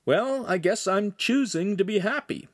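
Scruffy storybook teen boy, played by a man: excitable, pleading, pitched high, very mobile. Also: normal speed, no echo, studio quality. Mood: resigned. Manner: pleading, exclaiming.

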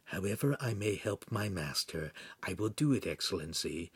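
A man voicing a sly, knowing guide in an audiobook, quietly coaching in a hushed, instructive way. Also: on the low side, very animated, measured pace, breathy edge, full character voice. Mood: conspiratorial. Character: sly, whispering guide coaching teenagers, dryly scheming.

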